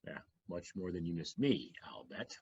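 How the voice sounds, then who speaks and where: A man's voice: low-pitched, lively intonation, moderate pace, darkish tone, slightly rough, highs cut off, exaggerated voice. A man playing a weary, defensive ex-husband in an audio play, with dry, exasperated retorts.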